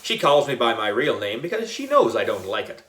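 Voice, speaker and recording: A man narrating a children's story aloud in a playful, expressive, exaggerated way. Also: medium pitch, a little fast. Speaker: audiobook reader.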